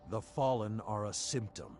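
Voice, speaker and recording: Man voicing a very deep, grave warrior lord. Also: moderate pace, a little mellow, slight background noise, lightly acted. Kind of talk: video game voice acting.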